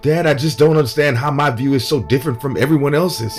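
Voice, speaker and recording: A man's voice: mid-range voice, fast talker, faint music behind, natural voice. A man playing a proud, embattled senator in an audio drama, earnest and conversational, defensive and weary. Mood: weary, frustrated.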